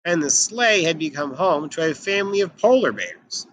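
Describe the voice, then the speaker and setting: A man with a high, bright voice reads a picture book aloud for children. His delivery is animated and emphatic, with lively swings in pitch. Some background noise sits behind him. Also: normal speed. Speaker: children's storybook reader.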